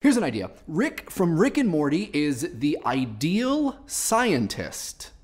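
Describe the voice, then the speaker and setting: An online video host narrating a scripted explainer with quick, wry asides. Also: medium pitch, sing-song, loud music behind the voice.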